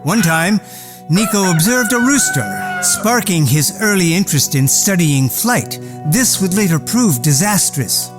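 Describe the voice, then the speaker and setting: An actor playing a parent fondly recalling a gifted child in animated radio drama narration. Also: medium pitch, a little slow, natural voice. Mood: reflective. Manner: reminiscing, animated. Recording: faint music behind the voice. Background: faint music.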